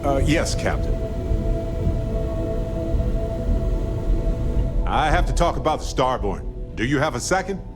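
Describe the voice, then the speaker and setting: An excitable, nerdy space scientist performed by a man for a video game. He sounds earnest and awkward, halting as he goes, in a mid-pitched voice. Soft music sits underneath. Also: close to an everyday voice. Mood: excited, flustered.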